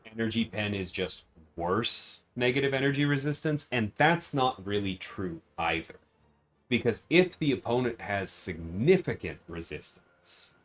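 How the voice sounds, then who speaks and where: A man's voice: fairly low pitch, fairly bright tone. A man livestreaming a game, giving casual commentary and explaining things in detail, often checking in with "right?". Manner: explanatory, tags points with "right?".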